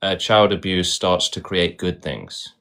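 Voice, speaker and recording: Man, fairly low voice, host arguing hard with guests, keeps saying right. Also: a little slow, sharp tone. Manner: insistent, repeats "right?". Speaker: host of a debate stream. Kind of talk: argumentative debate.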